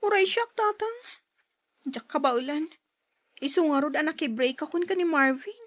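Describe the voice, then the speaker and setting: A woman acting a young daughter in love, firm in her principles, in an Ilocano radio drama with earnest, expressive delivery. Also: sits high, normal speed, dry recording. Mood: earnest, regretful.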